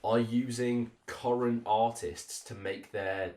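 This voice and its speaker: A young British man with an average-pitched voice chats casually as a podcast host. He sounds keen and enthusiastic, and the room echoes noticeably around him. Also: fairly even intonation, takes it slowly, darkish tone. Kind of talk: casual chat. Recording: roomy echo on the voice.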